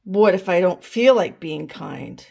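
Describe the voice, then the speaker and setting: A woman reading as a little kindergarten boy in a picture book. He sounds sullen and reluctant, giving his words grudgingly at an unhurried pace. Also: lowish voice, expressive, creaky, warm tone, full character voice.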